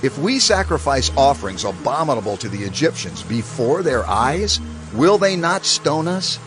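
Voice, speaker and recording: A man's voice: medium pitch, very animated, normal speed, over music, close to an everyday voice. A man playing a bold, earnest Hebrew prophet in a dramatized scripture audio drama, speaking emphatically. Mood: earnest insistence.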